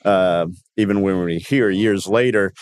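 A man in his sixties, born in Cuba and raised in Miami, speaks in a fairly low voice. He tells stories conversationally as a podcast guest, often stopping to restart or correct himself. The sound is close and dry. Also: normal speed, a little mellow, no room sound. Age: 60s. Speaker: podcast guest, company founder. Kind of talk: conversational interview storytelling.

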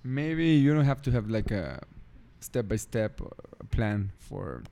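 A young man with a fairly low voice talks casually, as if among close friends. English is not his first language. He speaks as a participant in an informal podcast conversation. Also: sing-song, takes it slowly, dark tone.

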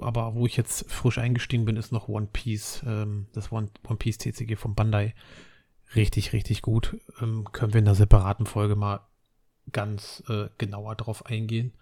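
A man, chatting casually and rambling on a solo podcast while he has a cold. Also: mid-range voice. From Berlin, Germany. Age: 30s. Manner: relaxed, rambling, many fillers and restarts. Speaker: podcast host.

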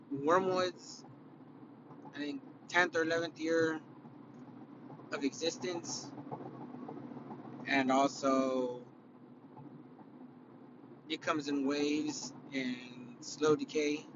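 A man from California with a raspy, tired voice hosting a casual solo podcast, rambling and swearing, full of fillers and restarts. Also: a little high, fairly even intonation, extremely slow, pauses often, lo-fi sound, background noise. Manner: rambling, profane, opinionated, with many fillers and restarts. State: raspy, worn-out voice after concerts. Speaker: podcast host.

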